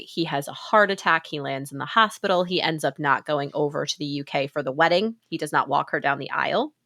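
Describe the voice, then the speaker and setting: A woman's voice: low voice, a bit bright, dry recording. Congested woman podcast co-host, dry sound. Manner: chatty, laughs often, many fillers. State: congested with a cold. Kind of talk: casual podcast chat.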